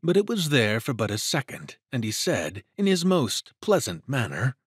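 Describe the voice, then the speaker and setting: A man's voice: medium pitch, very animated, normal speed, breathy edge, no echo, studio quality. A man narrating a suspense story in an audio drama, reading scripted prose dramatically.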